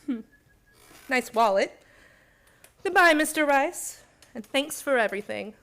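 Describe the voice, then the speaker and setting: A woman's voice: sits high, lively intonation, unhurried, creaky, a bit bright, heavily acted. A woman playing a cunning young con artist who poses as a schoolgirl in a noir radio play, narrating and speaking in dialogue. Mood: smug. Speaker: actor in a community radio play.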